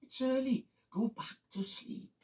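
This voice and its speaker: A storyteller voicing a calm, motherly female trailer who speaks soothingly. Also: high-pitched, unhurried, voice pushed up. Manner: soothing, gentle.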